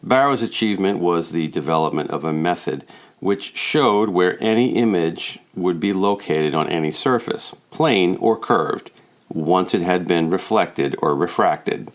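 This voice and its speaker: A man with a low voice reads a nonfiction text aloud. He delivers it as scripted audiobook narration, pitched well below most men. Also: lively intonation, unhurried. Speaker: audiobook reader.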